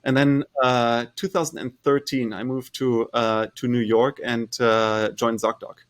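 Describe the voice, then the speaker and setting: A man from Germany with a German accent, answering interview questions as a startup founder, measured, with frequent fillers and restarts. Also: medium pitch, level tone.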